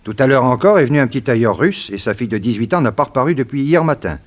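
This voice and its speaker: A man's voice of medium pitch. An actor plays a police chief in a radio crime serial, courteous and formal, with an earnest, worried manner. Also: lively intonation, fast, natural voice. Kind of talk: French radio drama acting.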